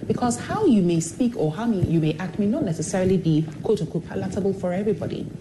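A woman's voice: mid-range voice, fast, background noise. A woman who is a television personality, talking candidly in an interview and acting out the voices of people in her stories. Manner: expressive, animated storyteller who acts out quoted voices. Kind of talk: candid motivational interview talk.

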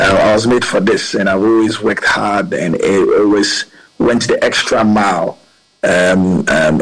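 A man's voice: lowish voice, low-quality recording. A man, a politician answering interview questions in an earnest, rambling way with many fillers. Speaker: politician guest.

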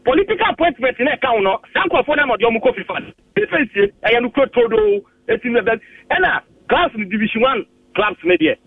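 A man's voice: extremely high pitch, big pitch swings, dry recording. Ghanaian man ranting over tinny phone. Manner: argumentative, halting, repeats himself, mixes Twi and English. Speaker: phone-in caller. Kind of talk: heated opinion on a radio call-in. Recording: dry tinny phone line, distant.

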